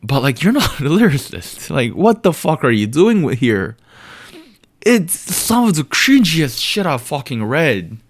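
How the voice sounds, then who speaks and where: A man's voice: fairly high pitch, sing-song, measured pace. A man whose first language is Korean co-hosts a casual podcast chat. He rambles and swears, and he leans hard on fillers like "like" and "you know.". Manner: rambling, profane, heavy on fillers. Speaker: podcast co-host.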